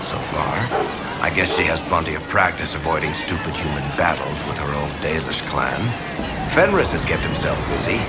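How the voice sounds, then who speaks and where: An actor playing a wry, roguish storyteller in a fantasy game, speaking with dry, sardonic understatement. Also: male voice, very deep voice. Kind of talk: scripted video game dialogue.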